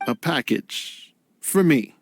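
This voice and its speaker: An actor playing a gentle male scholar and game creator in a game cutscene, soft-spoken and reflective. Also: a little high, expressive, slow. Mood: weary.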